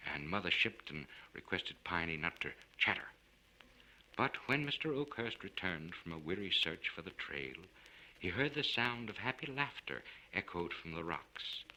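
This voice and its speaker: A man giving a theatrical, measured and formal narration of a literary short story. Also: low voice, bright, forceful tone. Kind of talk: scripted dramatic narration. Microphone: studio recording for LP. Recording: noisy vintage spoken-word record.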